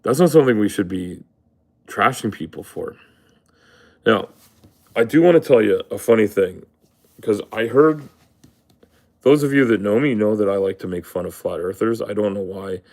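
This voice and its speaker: A man's voice: on the low side, normal speed, pauses often, darkish tone. A man hosting a live stream, ranting casually, rambling and swearing often. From Canada. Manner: rambling, profane, full of fillers. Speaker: livestream host. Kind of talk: casual livestream rant.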